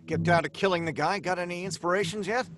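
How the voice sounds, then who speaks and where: An actor playing a cornered, anxious writer in an old-time radio drama, hesitant and theatrical in delivery. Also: male voice, sits high, steady tone, fast, lightly acted. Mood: musing, businesslike. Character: cornered, anxious male writer, stubborn and pleading.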